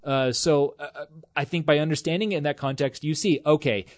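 A man's voice: a little high, fairly even intonation, fairly quick, no echo, clean studio sound. A man in a casual scripture study discussion, talking with enthusiasm, rambling, with lots of fillers and restarts.

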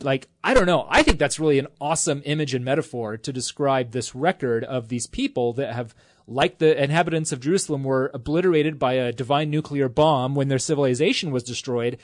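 A man's voice: fairly high pitch, dry recording. A man in a casual scripture study discussion, talking with enthusiasm, rambling, with lots of fillers and restarts.

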